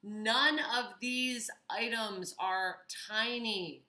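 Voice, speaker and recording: Bright-voiced woman with a roomy echo. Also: on the high side. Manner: earnest, thoughtful, with pauses and fillers. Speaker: vlogger. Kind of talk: reflective personal talk to camera.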